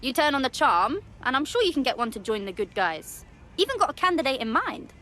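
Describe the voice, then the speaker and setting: A woman's voice: high voice, sing-song, brisk, a bit bright. A British woman playing a savvy, sardonic pub worker who helps the resistance in a game, wry and assured. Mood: wry, conspiratorial. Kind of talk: game cutscene dialogue.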